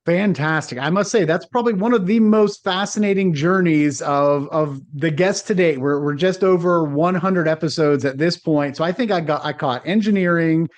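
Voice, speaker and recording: A man's voice: a little high, moderate pace, few pauses. A man hosting a podcast interview, reading a polished introduction and then asking friendly questions. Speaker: podcast host.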